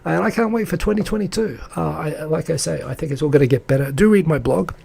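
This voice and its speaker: Male live-stream host, dark gravelly tone, chatty and enthusiastic, loose with fillers. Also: a little high, fast talker. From English-speaking country. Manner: rambling and enthusiastic, lots of fillers; reads out and answers viewer comments. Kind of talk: casual live-stream chat.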